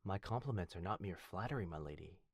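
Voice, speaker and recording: A man performs a loyal, courteous butler in an intimate ASMR roleplay. His low voice swings expressively as he speaks with formal deference and fond, gentle teasing. The sound is dry, with no room around it. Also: lively intonation, fast, breathy edge, dry recording, full character voice. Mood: warm, devoted. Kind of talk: ASMR boyfriend roleplay, scripted acting.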